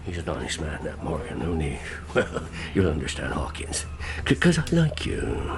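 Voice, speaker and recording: Sly, swooping seadog. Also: male voice, rumbling low, wildly swooping pitch, normal speed, vocal fry, a crowd in the background, full character voice. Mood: sly, confiding. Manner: folksy old seadog talk, swooping between mutters and bellows. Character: sly, hearty one-legged sailor and ship's cook. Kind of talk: radio drama acting.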